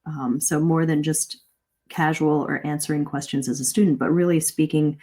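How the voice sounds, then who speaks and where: Female communication coach, middle-aged, slightly low voice; explains conversationally and encouragingly, leaning on key points. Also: fairly even intonation, normal speed, dark tone, husky. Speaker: English communication coach, host. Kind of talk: live online lesson, conversational teaching. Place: live video stream.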